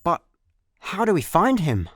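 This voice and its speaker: An actor playing an easygoing, scatterbrained intergalactic slacker with a Scottish accent, chatty and deadpan in a comedic sci-fi radio play. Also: male voice, high-pitched, unhurried, husky, no room sound, studio quality, exaggerated voice. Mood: flippant.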